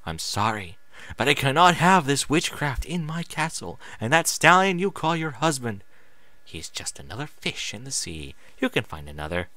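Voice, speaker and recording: A man's voice: medium pitch, big pitch swings, moderate pace, sharp tone, dry recording. A man voicing a stern, disapproving older female alicorn, a royal aunt lecturing in a fantasy story reading. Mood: soft, dismissive.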